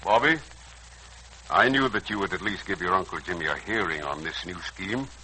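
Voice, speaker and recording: An actor playing a kindly older uncle in a 1940s radio serial, coaxing and persuasive in a theatrical, earnest way, with a raspy voice. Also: male voice, low-pitched, moderate pace, pushed and bright, a little hiss or hum, rough recording. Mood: coaxing, hopeful. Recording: old 1940s radio recording.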